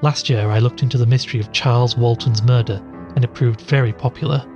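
A man playing a podcast host who investigates mysteries, presenting in a measured, explanatory way in an audio drama. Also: medium pitch, fairly even intonation, a little mellow, muffled recording, faint music behind, lightly acted. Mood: measured, intrigued.

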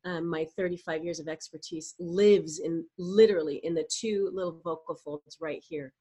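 A middle-aged woman, a voice coach, giving animated, explanatory answers in a podcast interview and sometimes demonstrating vocal exercises. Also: lowish voice, normal speed, slightly rough.

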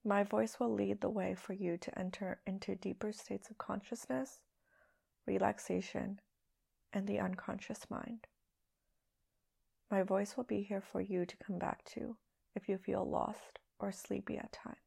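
A woman leading a guided meditation as a podcast host, speaking in a hushed, soothing, unhurried way with long pauses. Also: medium pitch, level tone, gravelly, husky.

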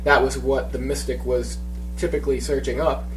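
A man's voice: medium pitch, fairly even intonation, moderate pace, faint music behind. A man co-hosting a casual podcast, talking with a stuffy head cold and rambling through fillers and restarts. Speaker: podcast co-host. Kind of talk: casual chat.

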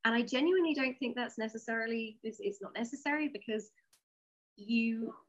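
A woman's voice: fairly high pitch, a little fast, highs cut off. A woman talking candidly and with firm opinions as an interview guest, explaining things conversationally. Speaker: interview guest, sci-fi writer.

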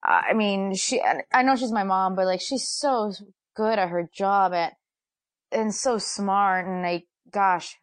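A woman sports anchor, a guest in a casual interview, who talks fast and animatedly and laughs often. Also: medium pitch, fairly even intonation, no echo.

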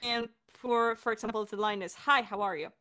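A woman with a fairly high voice talks as a podcast director and co-host. She explains the work casually and with animation, in the manner of an informal Q&A. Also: fairly even intonation, brisk, bright, forceful tone. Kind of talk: casual Q&A chat.

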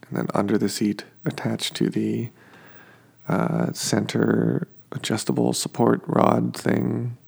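A man with allergies, sounding sniffly, who hosts a sleep podcast and describes his surroundings in a slow, meandering, halting way full of fillers. He is recording at home in his kitchen. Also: lowish voice, gravelly, darkish tone, slightly rough.

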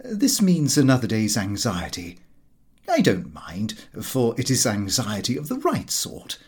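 A man reading a comic diary aloud as expressive audiobook narration. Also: medium pitch, a little slow, husky. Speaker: audiobook reader.

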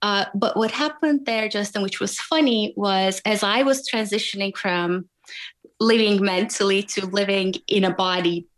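A woman with a Turkish accent, answering interview questions as a guest in a measured, reflective way. Her voice sits at a medium pitch. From Turkey. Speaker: guest, wound healer and coach.

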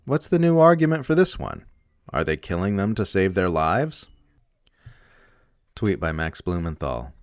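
Male narrator for written commentary, deep register, dry studio-like sound. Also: no echo. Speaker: essay reader. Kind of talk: scripted narration of a political essay.

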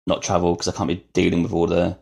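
A man's voice: sits low, monotone, fast talker, vocal fry, a little mellow. A man hosting a podcast, speaking in a casual, agreeable conversational way. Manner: agreeable, frequent short affirmations. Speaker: podcast host.

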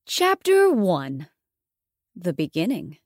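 Lively female title announcer. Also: lowish voice, slow pace, no echo, clean studio sound. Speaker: audiobook narrator and announcer.

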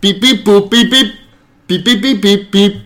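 A man's voice: high-pitched, expressive, a little slow, fairly bright tone, exaggerated voice. A man playing a lonely, goofy middle-aged office boss, hesitant and pleading, in improvised comedy roleplay. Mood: idly musing.

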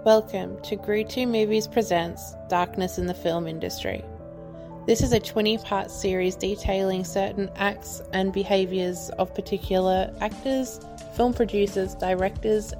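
A woman podcast host with a stuffy nose reads a scripted rundown and sometimes stumbles over names. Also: mid-range voice. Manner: careful reading with occasional stumbles over names. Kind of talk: reading a scripted true-crime rundown.

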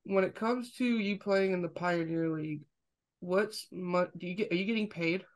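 A man in his twenties hosting a casual podcast, asking curious, halting questions that often restart mid-sentence. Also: high voice. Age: 20s. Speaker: podcast host.

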